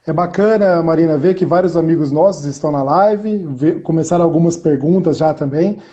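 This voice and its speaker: A man hosting a live video-call interview as a physical education teacher, with measured, formal phrasing. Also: on the high side, dark tone, dull audio.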